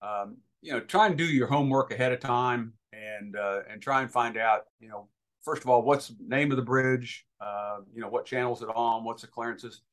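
A man's voice: medium pitch, moderate pace, a bit bright. Man explaining things in a hesitant way. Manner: hesitant, practical, step-by-step. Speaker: guest expert, boating safety educator. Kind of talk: informal explanatory interview answers.

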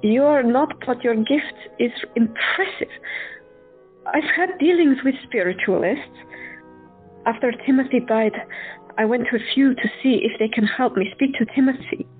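A woman's voice: a little high, moderate pace, soft background music, close to an everyday voice. Woman playing an earnest, devoted doctor. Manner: tender, earnest. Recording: phone-like lo-fi.

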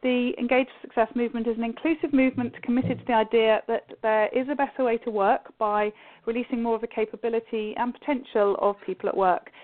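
A woman hosting an interview podcast, chatty and quick with asides. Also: a little high. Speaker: podcast host. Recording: phone-like call audio.

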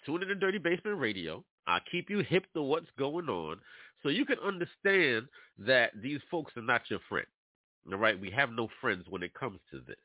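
Lively-voiced talk radio host, tinny sound. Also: male voice, medium pitch, moderate pace, dry recording. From New York. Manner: animated, preachy, addresses listeners directly. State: congested from allergies. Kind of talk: casual talk radio monologue. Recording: dry, tinny phone-like sound.

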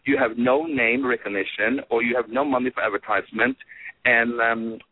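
A man's voice: mid-range voice, moderate pace, breathy edge, dry recording. Man on a tinny phone line. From Norway. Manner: animated, many fillers and restarts. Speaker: guest, fragrance designer. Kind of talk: casual storytelling in an interview.

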